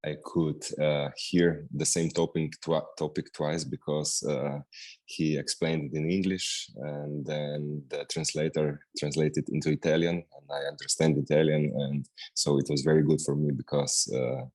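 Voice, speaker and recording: A man's voice: a bass voice, level tone, unhurried, a little mellow. A man with a Slovene accent, speaking English as a second language, answering interview questions with many hesitations. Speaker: guest practitioner.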